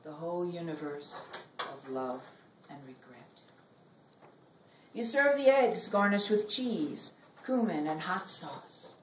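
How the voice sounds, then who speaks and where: Middle aged woman reading poems slowly to a room, far from mic, really echoey. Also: low voice. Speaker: poet reading own work. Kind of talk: live poetry reading. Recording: roomy, noisy recording, distant from mic.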